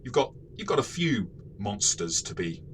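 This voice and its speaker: A man co-hosting a casual game review discussion, thoughtful and halting, often rephrasing mid-sentence. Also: on the low side, very animated, fairly bright tone, a little hiss or hum. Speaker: co-host of a game review show.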